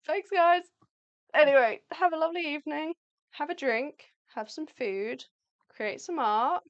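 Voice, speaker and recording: A woman co-hosting an art livestream in a chatty, upbeat, rambling way, her voice going slightly hoarse. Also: high voice, unhurried, highs cut off. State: losing her voice. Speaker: livestream co-host, artist. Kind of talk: casual live chat.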